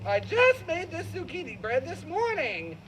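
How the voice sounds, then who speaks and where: A woman's voice: very high voice, huge pitch swings, a little slow, a little hiss or hum, pitched above the performer's own voice, heavily acted. A woman voicing a friendly, chatty male rabbit in a children's puppet show. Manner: chatty, eager, neighborly. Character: cheerful, friendly male rabbit, eager home cook.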